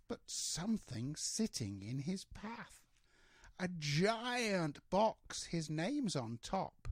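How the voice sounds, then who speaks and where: An older man, a grandfather, reading a rhyming children's storybook aloud in a measured, expressive way with drawn-out words. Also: on the high side, no echo, studio quality.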